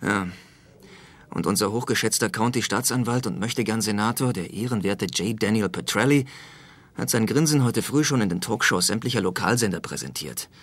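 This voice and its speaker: A man's voice: lowish voice, animated, brisk, fairly bright tone, breathy edge. An actor playing a dry, sarcastic police officer reporting to his superior in a radio drama. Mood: dry, sardonic.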